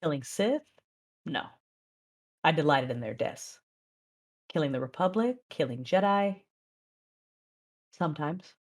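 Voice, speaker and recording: A woman acting as a controlled, proud Sith mother, narrating and speaking in a measured, intense way in an audio drama. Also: low-pitched, expressive. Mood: brooding. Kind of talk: first-person dramatic narration and dialogue.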